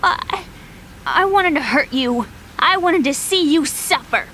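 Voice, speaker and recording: A woman voicing a vulnerable, earnest young female programmer in a video game, with a soft, hesitant, emotional delivery. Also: high voice, expressive, slow, slight background noise, full character voice. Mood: hurt, bitter. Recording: music behind the voice.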